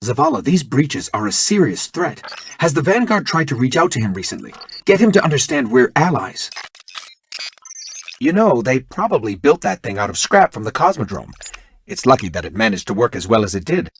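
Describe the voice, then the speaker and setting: An actor playing a wry, irreverent but earnest field agent in a video game, talking in a dry, informal way. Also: male voice, medium pitch, sing-song, fairly quick, a little hiss or hum. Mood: concerned, earnest. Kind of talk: scripted video game voice acting.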